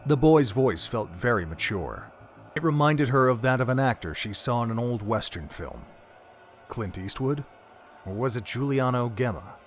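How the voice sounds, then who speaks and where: A man giving scripted story narration for an audio drama in a measured, even way. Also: medium pitch.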